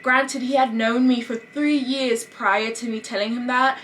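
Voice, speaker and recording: A woman's voice: a little high, steady tone, unhurried, a bit bright, a little hiss or hum. Woman putting on a playful British accent. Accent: put-on British. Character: chatty young woman pretending to be British. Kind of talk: casual vlog.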